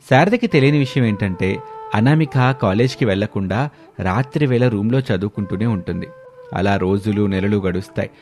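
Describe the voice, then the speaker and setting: A man's voice: medium pitch, darkish tone. A man narrating a scripted moral family story as a storyteller.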